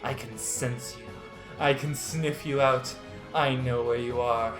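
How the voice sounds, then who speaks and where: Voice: mid-range voice, fairly even intonation, measured pace, reverberant, over music, close to an everyday voice. A performer voicing a vengeful young female killer who threatens and menaces her former friends in an amateur dramatic reading. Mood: vengeful rage.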